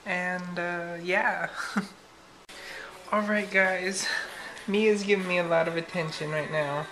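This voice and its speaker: A woman's voice: lowish voice, fairly even intonation, slow, fairly bright tone, a little hiss or hum, poor audio. A young woman vlogging at home at night, talking casually and giggling to a handheld camera. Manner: chatty and giggly, lots of fillers. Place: home kitchen at night, then living room. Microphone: camera held at arm's length.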